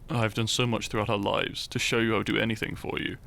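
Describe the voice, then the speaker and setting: A man acting a gravelly, shy young hero. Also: on the low side, flat delivery. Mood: wistful, earnest. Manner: soft, hesitant, halting, sighing and chuckling. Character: shy young hero and humble farmer, tenderly teasing. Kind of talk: intimate romantic audio roleplay acting, addressed to listener.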